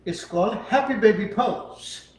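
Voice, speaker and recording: Calm yoga teacher, high voice, echoey. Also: male voice. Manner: calm, unhurried instruction while holding poses. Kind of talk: demonstrating and instructing yoga poses. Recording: echoey room, voice from far off.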